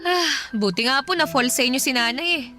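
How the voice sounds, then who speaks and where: A young woman playing a stubborn, heartbroken Filipina daughter turning tomboy in a radio drama, in casual, slangy, expressive speech. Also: high voice, brisk, faint music behind. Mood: teasing, wistful. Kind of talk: Tagalog radio drama acting.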